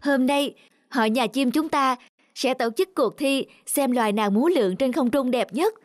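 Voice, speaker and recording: Grand, haughty bird master of ceremonies acted by a dubber, cartoon delivery over loud music. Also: high-pitched, normal speed, natural voice. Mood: ceremonial. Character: pompous bird contest master, announcing grandly. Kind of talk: cartoon dubbing.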